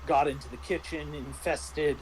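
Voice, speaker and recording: Halting, higher-pitched man with background noise. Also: steady tone, low-quality recording. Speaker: tabletop game master. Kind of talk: casual discussion and review of a roleplaying game.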